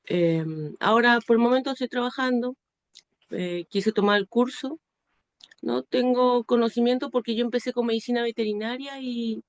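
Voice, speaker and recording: A woman's voice: a little high, darkish tone. A woman from Peru introducing herself as a student in an online class, speaking hesitantly with tag questions.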